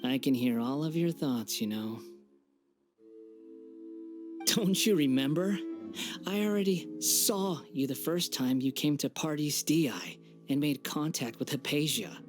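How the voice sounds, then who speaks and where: A man's voice: a little high, measured pace, close to an everyday voice. An actor playing an arrogant, mocking young man who sees himself as a god, in an English anime game dub. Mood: smug. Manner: condescending, theatrical, taunting. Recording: background music under the voice.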